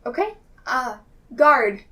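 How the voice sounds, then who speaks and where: A woman with a slightly high voice roleplays at a game table in polite, measured phrases. She sounds a little away from the mic. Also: sing-song, sharp tone, far from the mic. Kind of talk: in-character improvised roleplay.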